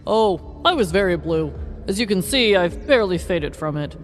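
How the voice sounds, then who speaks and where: Casting: a man voicing a jittery, socially awkward neighbor guy, very high voice, light music under. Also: animated, normal speed. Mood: nervous, earnest. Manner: nervous, earnest, oddly candid. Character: awkward anxious man neighbor painted blue.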